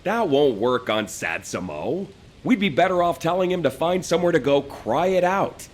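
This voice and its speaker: A wisecracking schemer. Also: male voice, a little high, expressive, normal speed. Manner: joking, scheming. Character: scheming wisecracking young male fighter. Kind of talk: video game cutscene voice acting.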